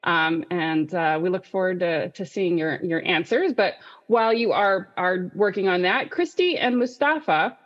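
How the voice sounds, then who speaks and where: A woman's voice: on the low side, moderate pace, dull audio. A woman hosting an online panel, presenting in a semi-scripted webinar style. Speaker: panel host and moderator.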